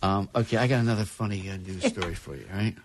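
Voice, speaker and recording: A man's voice: lowish voice, quick pace, a little hiss or hum. A man hosting a casual chat show, talking loosely and reading news stories aloud with wry asides. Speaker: podcast host.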